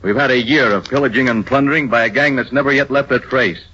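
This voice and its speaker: A man's voice: mid-range voice, quick pace, bright, forceful tone, slight background noise, natural voice. A man playing a stern, curt police chief giving orders with authority in an old radio drama. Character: stern, commanding big-city police chief. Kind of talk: 1930s radio drama acting. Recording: dull old radio recording.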